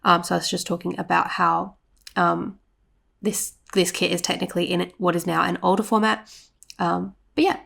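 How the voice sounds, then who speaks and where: A woman's voice: fairly low pitch, vocal fry. A young adult woman, sounding congested and sniffly, gives a chatty, rambling voiceover for her planner vlog. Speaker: planner vlogger. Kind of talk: casual voiceover narration.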